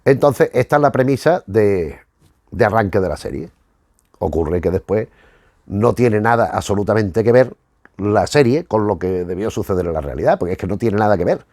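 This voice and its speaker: A man's voice: lowish voice, animated, normal speed, a little mellow, dry recording, studio quality. A man from giving casual, rambling commentary as a host, often searching for words and rewording as he goes. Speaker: YouTube host reviewing retro cartoons.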